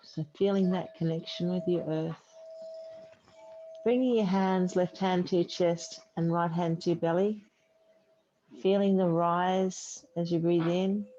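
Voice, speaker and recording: A woman yoga teacher leading a guided relaxation meditation in a soothing, unhurried way with long pauses. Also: lowish voice, steady tone, warm tone. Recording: dull muffled audio, faint background noise.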